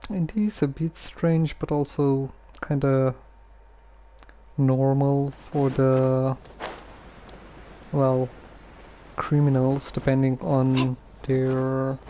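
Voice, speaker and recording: A man streaming a game with a soft, dark tone and medium pitch. He talks slowly and hesitantly, musing casually as he goes. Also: a little hiss or hum, rough recording. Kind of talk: casual game commentary and replies to characters.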